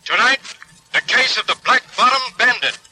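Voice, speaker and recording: A man's voice: very high voice, expressive, moderate pace, sharp tone, a little hiss or hum, rough recording. A man delivering a dramatic 1940s radio show opening on a vintage recording.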